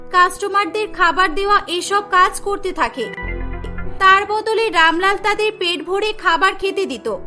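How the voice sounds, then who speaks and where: A woman narrating a cartoon story in a very high, bright voice, with a clear storytelling delivery. Light music plays beneath her.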